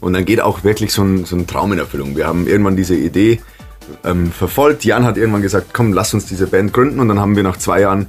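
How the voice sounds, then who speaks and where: A man with a lowish voice, chatting as a band member in an interview. He sounds cheerful and breaks into laughter as he tells stories. Faint music runs in the background. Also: quick pace. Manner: cheerful, laughs often while telling stories. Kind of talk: casual chat.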